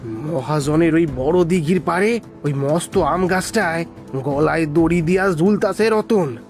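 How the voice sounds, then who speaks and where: A man's voice: on the high side, measured pace. A man playing an alarmed villager bearing terrible news in a cartoon story, wailing in a rural Bengali dialect over prominent music. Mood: shaken grief. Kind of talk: dramatic cartoon story acting.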